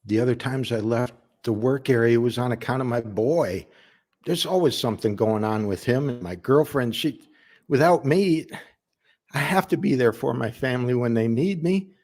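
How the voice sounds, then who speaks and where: A male actor performs an earnest working father in a dramatic monologue. He is a man of medium pitch who pleads haltingly and emotionally, desperate to provide for his family. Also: darkish tone, natural voice. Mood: defensive, pleading.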